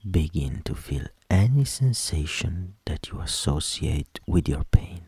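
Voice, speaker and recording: A man leading a guided meditation in a soft, soothing voice with gentle instructions that trail into whispers. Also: very deep voice, very animated, slightly rough, no room sound. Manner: slow, gentle instructions with long pauses.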